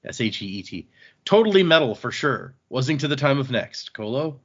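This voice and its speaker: A man's voice: medium pitch, very animated, normal speed, fairly bright tone, breathy edge, dull audio. A man hosting a podcast, chatting casually and reading listener emails aloud in an easygoing, agreeable manner.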